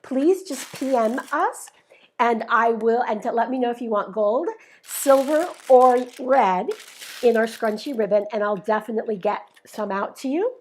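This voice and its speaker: A woman's voice: on the high side, moderate pace, a little mellow, a little hiss or hum. A woman hosting a live craft tutorial, explaining each step in a chatty, upbeat way, her voice a little worn. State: slightly losing her voice. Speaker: craft demonstrator, show host.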